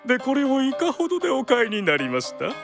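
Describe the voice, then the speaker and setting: A suave, slyly amused antique dealer in a radio drama. He speaks with unctuous politeness and extremely animated pitch, with music behind him. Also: creaky, full character voice. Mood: gracious. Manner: courteous, unctuous, measured merchant speech.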